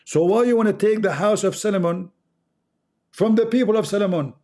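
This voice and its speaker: A man with an Arabic accent, speaking English as a second language, holds forth on his stream into a close microphone. His voice sits somewhat high for a man yet sounds soft and mellow. He delivers in an emphatic, sermon-like way and repeats lines for weight. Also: fairly quick. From Middle East. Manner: emphatic, preachy, repeats for effect. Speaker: livestream host. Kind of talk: impassioned religious monologue.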